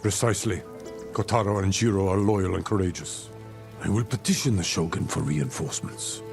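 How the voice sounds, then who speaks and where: An actor playing a stern older samurai lord in a video game, gravelly, measured and authoritative. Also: male voice, sits low. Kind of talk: cinematic video game dialogue. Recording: music under the dialogue.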